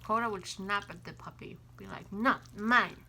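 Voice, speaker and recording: Female vlog co-host from Finland, bright forward voice, casual animated storyteller, faint noise. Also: medium pitch, moderate pace, creaky. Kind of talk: casual chat.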